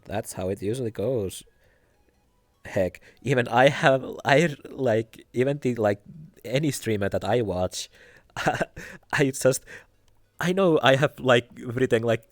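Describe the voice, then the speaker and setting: A young man with a Finnish accent chatting casually on a live stream, soft-spoken and giggly, hesitating often. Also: mid-range voice, no room sound, clean studio sound. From Finland.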